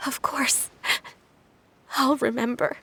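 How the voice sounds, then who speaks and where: An actress playing a frightened, remorseful young girl in a game, speaking in a halting, emotional way. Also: high-pitched, steady tone, gravelly, fairly bright tone, a little hiss or hum, full character voice. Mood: tearful, remorseful. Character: frightened, remorseful young girl leaving her foster home. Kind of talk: dramatic game dialogue.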